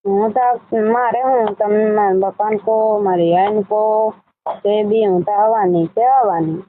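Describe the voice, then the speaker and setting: Female caller, mid-pitched, short insistent casual replies, thin phone-band sound. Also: steady tone, unhurried, no room sound. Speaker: caller, admirer. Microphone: phone call. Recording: tinny narrow-band phone line.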